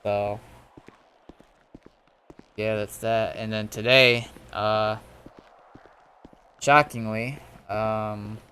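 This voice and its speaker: A man giving a casual, rambling gaming commentary in a halting way, often trailing off. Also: lowish voice, fairly even intonation, bright, forceful tone, slight background noise. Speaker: gaming video host. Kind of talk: casual rambling gameplay commentary recapping roleplay events.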